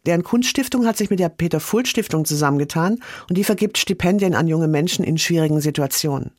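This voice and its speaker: A man presenting a radio news segment in a crisp, scripted way. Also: on the high side, quick pace, a little mellow, no echo, studio quality. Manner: crisp announcing.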